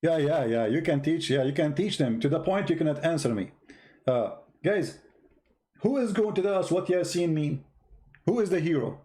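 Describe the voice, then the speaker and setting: Male live-stream host, English, slightly high but relaxed voice; challenging, mocking, repetitive debater. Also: moderate pace. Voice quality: non-native English grammar. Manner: mocking, challenging, repeats phrases and rhetorical questions. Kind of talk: informal argumentative live-stream monologue.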